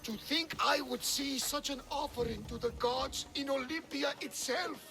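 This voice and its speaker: An actor playing an excitable, devout older Greek man with a gravelly voice and a theatrical, reverent manner in a video game. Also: extremely high pitch, normal speed, over music. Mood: awed, eager. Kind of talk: video game dialogue acting.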